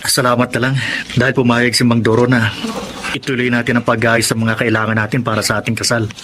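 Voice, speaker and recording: A man's voice: mid-range voice, little pitch movement, fast, pushed and bright, lightly acted. An actor playing an earnest, devoted young man in a Filipino radio drama, polite and a little hesitant. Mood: tender, hopeful. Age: young adult. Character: earnest, devoted young suitor and respectful son. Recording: noisy background ambience.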